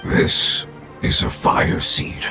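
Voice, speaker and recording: An actor voicing a weary, dying ancient creature in a video game, gravelly and halting, solemn and loyal. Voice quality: heavily gravelly, breathy rasp. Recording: loud music under the voice.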